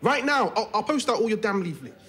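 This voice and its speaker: A man's voice: high-pitched, big pitch swings, a little fast, pushed and bright, soft background music, exaggerated voice. An actor playing a young man in a naturalistic audio drama, matey and colloquial, prickly with his mum. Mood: defensive, irritated. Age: young adult.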